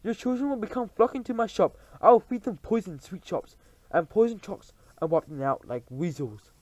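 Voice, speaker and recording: A man's voice: animated, moderate pace, darkish tone, no echo. Foolish overexcited witch, as a man puts her on. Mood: excited. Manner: shrill, blurting.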